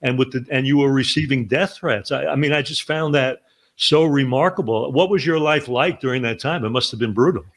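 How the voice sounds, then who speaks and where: A man's voice: mid-range voice, brisk, a bit bright. Earnest, rambling male interviewer. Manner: earnest, rambling questions with many restarts. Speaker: podcast host. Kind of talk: interview questions.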